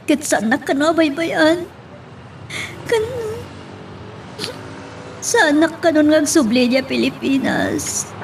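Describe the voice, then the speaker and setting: A woman with an Ilocano Filipino accent playing a troubled, remorseful married woman in an audio melodrama, halting and pleading. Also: high-pitched, creaky, a little hiss or hum, full character voice. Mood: troubled, tearful. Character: distressed pregnant married woman, remorseful, confessing an affair. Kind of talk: Ilocano radio-style melodrama acting.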